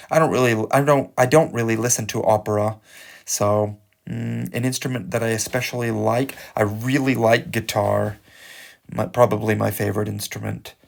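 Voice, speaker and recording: A man's voice: medium pitch, gravelly. A man who speaks English as his first language, teaching an exam-prep lesson in a musing, hesitant way with many fillers. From English-speaking country.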